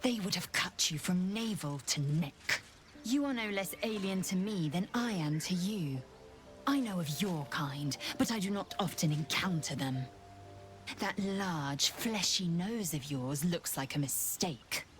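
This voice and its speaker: A woman's voice: fairly low pitch, animated, a little slow, soft background music. A woman playing a stern, haughty alien warrior in a video game, speaking in a clipped, formal, disdainful way. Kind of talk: dramatic video game acting.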